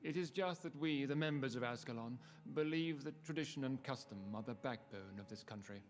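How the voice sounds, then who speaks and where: An actor playing an ancient aristocratic British vampire lord in a video game, speaking in a formal, measured, grandiloquent manner. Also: male voice, mid-range voice, natural voice. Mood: ceremonial. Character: ancient aristocratic male vampire lord, founder of a secret club. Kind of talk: scripted video game dialogue.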